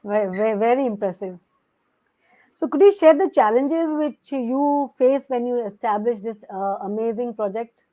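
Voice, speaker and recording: A woman's voice: on the high side, moderate pace, mellow, rough recording. A woman hosting a chat show interview, effusive in her praise and backchanneling often. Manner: effusive, constant "hmm" backchannels.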